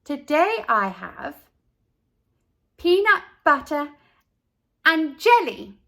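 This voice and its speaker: A woman's voice: high-pitched, sing-song, very slow, gravelly, a bit bright, pitched above the performer's own voice, full character voice. A woman voicing a self-assured little girl, proud to be different, in a children's storybook.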